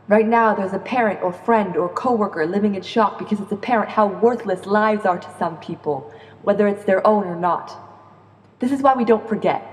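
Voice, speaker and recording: A woman performing spoken-word slam poetry in a rhythmic, earnest, deliberate way. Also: medium pitch, a little mellow. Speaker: slam poet. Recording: echoey reverberant room.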